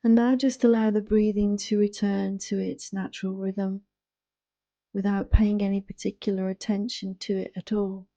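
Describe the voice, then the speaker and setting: A woman guiding a yoga nidra relaxation in a soothing, hushed, unhurried manner, close to the microphone. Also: mid-range voice, level tone, dry recording. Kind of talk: guided relaxation meditation.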